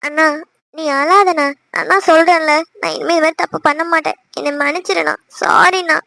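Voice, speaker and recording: Lovestruck little sister for family drama female actor: teenage, meek, apologetic, high pitched with some creak. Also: steady tone, a little fast, pushed and bright, no room sound, exaggerated voice. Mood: apologetic, pleading. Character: remorseful lovestruck teenage younger sister, timid and pleading. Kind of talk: melodramatic family audio drama.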